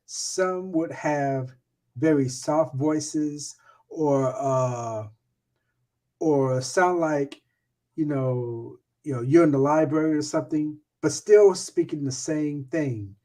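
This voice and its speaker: A man's voice: fairly high pitch, takes it slowly, a little mellow. A man speaking as a guest in a casual chat, hesitant and full of fillers.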